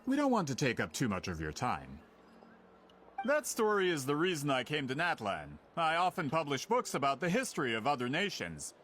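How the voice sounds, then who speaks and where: A man's voice: on the high side, huge pitch swings, normal speed, a bit bright, slight background noise. An actor playing a self-assured, somewhat impatient author in a video game, pushing to get what he wants. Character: pushy male author chasing a story.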